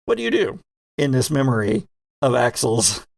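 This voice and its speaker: A man narrating a sci-fi horror tabletop game as host and game master, with measured, dramatic storytelling. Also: medium pitch, sing-song, gravelly, dry recording, studio quality.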